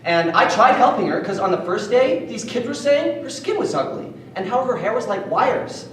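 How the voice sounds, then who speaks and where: Animated man reading a story. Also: high voice, moderate pace, slight background noise. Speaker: playwright reading his play. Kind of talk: solo dramatic reading. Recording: distant echoey room.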